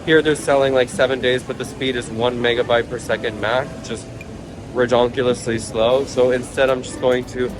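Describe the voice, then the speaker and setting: A man's voice: mid-range voice, flat delivery, normal speed, noisy background. A man narrating a casual travel vlog, chatty and upbeat, talking to viewers as he moves from an airport to a car. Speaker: travel vlogger. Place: airport terminal, then car on the road.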